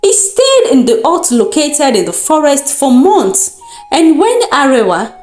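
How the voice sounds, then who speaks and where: Expressive, lively Nigerian woman telling a folktale. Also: high-pitched. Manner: deliberate, expressive storytelling. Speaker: storyteller. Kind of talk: dramatic folktale narration. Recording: roomy echo with music underneath. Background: music.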